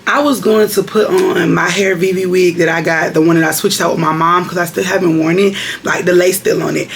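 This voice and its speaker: Woman vlogger, raspy and gravelly, rambling and chatty. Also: fairly low pitch, steady tone, a little fast, slight background noise. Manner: rambling, chatty, direct with viewers. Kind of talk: casual chat to viewers. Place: at home at her vanity.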